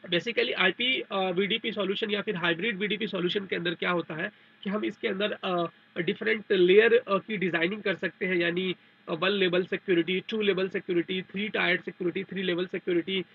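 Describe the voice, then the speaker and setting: Male technical trainer, high-pitched with a gravelly edge, methodical step-by-step explainer, dull narrow recording. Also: steady tone, a little fast. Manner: repetitive, step-by-step explaining with English technical terms. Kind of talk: explanatory tutorial over a diagram.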